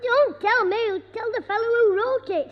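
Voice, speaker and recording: Cocky young schoolboy who talks back; very high, dull, noisy old broadcast. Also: male voice, normal speed. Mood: cheeky. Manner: impudent, wisecracking. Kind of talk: 1960s radio sitcom acting.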